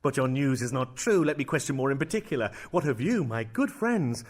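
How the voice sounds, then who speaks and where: An actor playing a brooding, sardonic young prince who feigns madness, in theatrical and measured Shakespearean delivery with hushed asides. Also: male voice, medium pitch, animated, lightly acted. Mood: wry, probing. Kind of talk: Shakespearean stage acting in verse and prose.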